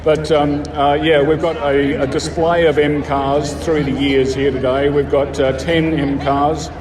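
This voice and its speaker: An Australian man from the bush giving a casual interview as a car club member, halting but enthusiastic. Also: on the high side, level tone. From rural Australia. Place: outdoors at a motor show. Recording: echoey outdoor PA, distant, crowd chatter. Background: crowd.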